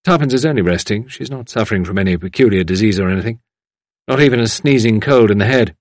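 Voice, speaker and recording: A man's voice: lowish voice, fast talker, dry recording, studio quality, natural voice. A man voicing a guarded, wry master of the house with a clipped, musing manner in an audiobook. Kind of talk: audiobook character voice.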